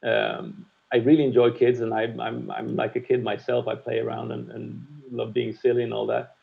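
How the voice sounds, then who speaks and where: A man's voice: mid-range voice, flat delivery, moderate pace, creaky, narrow, tinny audio. A man in his fifties, a music producer, talking frankly and reflectively in an interview, swearing now and then. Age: 50s. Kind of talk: candid conversational interview.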